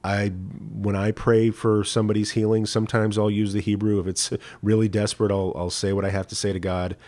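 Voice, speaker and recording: Male rabbi, lowish voice, chatty live-stream teacher thinking aloud. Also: flat delivery, fairly quick, a little mellow. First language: English. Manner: conversational teaching, frequent "right?" asides and restarts. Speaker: rabbi answering viewer questions.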